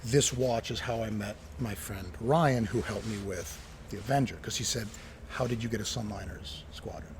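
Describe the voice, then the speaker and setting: A man's voice: lowish voice, moderate pace, vocal fry, a little hiss or hum. A middle-aged man in casual conversation, telling anecdotes enthusiastically and acting out the dialogue he quotes. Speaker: interview guest, retired watch collector.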